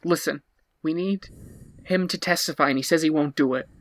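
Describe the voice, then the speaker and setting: A man's voice: on the high side, fairly bright tone, dry recording. Man as a flustered, earnest detective, dry sound. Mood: insistent. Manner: halting, apologetic, insistent. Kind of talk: improvised comedy table read.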